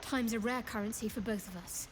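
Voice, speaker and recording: A woman's voice: fairly high pitch, fairly quick, close to an everyday voice. An actress playing a guarded young woman cleric who brushes questions off curtly. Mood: evasive. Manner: curt, dismissive. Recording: faint background noise.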